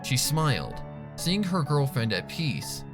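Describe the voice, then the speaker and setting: A man's voice: mid-range voice, wildly swooping pitch, slow pace. A man narrating a piece of fan fiction as a dramatic scripted reading. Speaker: story narrator. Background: faint music.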